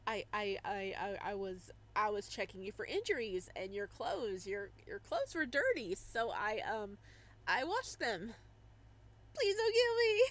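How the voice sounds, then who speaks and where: A woman voicing a gentle young male herbalist who stammers when nervous. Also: medium pitch, big pitch swings, a little slow. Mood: panicked.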